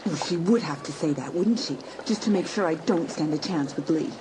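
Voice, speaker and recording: A woman's voice: sits low, fairly quick, noisy background, close to an everyday voice. A woman playing a scheming, competitive, jealous teenage girl in a dramatised audiobook, talking to herself in theatrical exclamations. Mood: exasperated. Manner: theatrical, exclamatory self-talk. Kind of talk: dramatised audiobook acting, thinking aloud.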